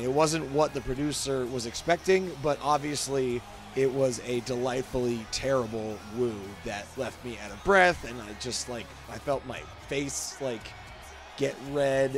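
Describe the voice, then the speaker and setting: A man giving casual, jokey and self-deprecating commentary as a host, rambling as he goes. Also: mid-range voice. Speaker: host commenting on wrestling video game cutscenes. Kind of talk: casual commentary with mock wrestling announcing.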